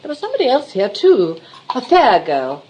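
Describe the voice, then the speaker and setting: A woman's voice: on the high side, huge pitch swings, normal speed, darkish tone, low-quality recording. An actress playing a vague, grand middle-aged English mother in a radio comedy. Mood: airy, imperious. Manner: airy, scatterbrained, commanding. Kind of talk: radio play comedy acting.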